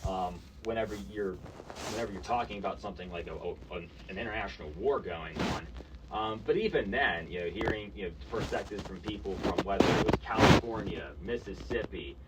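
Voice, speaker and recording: A man's voice: low voice, brisk, far from the mic, very noisy. A young man hosting a college radio podcast, talking in a rambling way with many restarts. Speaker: podcast host. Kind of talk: casual college radio chat. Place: college campus radio studio.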